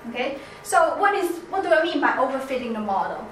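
A woman's voice: sits high, big pitch swings, fairly bright tone. Woman with a Chinese accent presenting. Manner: halting, many restarts and "like" fillers. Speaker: presenter in a seminar. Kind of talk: seminar presentation with discussion. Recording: echoey room, faint background noise.

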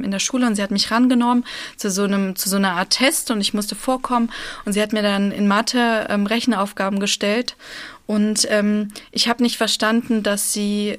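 Young woman from Russia, hesitant chat. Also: medium pitch. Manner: hesitant, many fillers. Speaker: guest. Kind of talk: casual interview chat.

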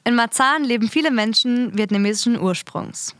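A young woman from Berlin hosts a radio magazine, reading from a script. Her voice sits slightly high and is recorded close and dry. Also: expressive, moderate pace, a bit bright, dry recording. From Berlin, Germany.

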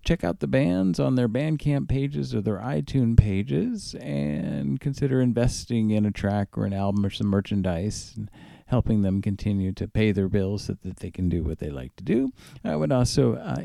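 Male music-show host: gravelly, relaxed, off-the-cuff back-announcing in a dry studio sound. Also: medium pitch, dry recording. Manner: conversational, unscripted. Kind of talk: radio back-announcing and listener information.

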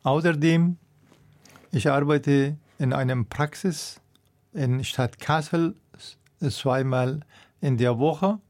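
A man's voice: fairly high pitch, takes it slowly, darkish tone. A middle-aged man with a Persian accent, a doctor answering radio interview questions hesitantly, searching for his words. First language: Persian (Dari).

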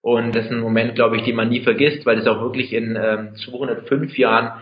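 A man in his twenties who organizes an event, answering interview questions in a measured way with restarts and hesitations. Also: level tone. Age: 20s. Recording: echoey, dull low-fi sound.

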